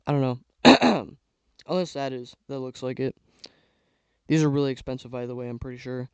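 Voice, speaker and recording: Young male vlogger, rambly casual commentary, clear voice with a slight hoarseness. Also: mid-range voice, normal speed, no room sound, muffled recording. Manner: rambling, filler-heavy, trailing off. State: losing his voice. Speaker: YouTuber ranking toy sets. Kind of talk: casual tier-list commentary.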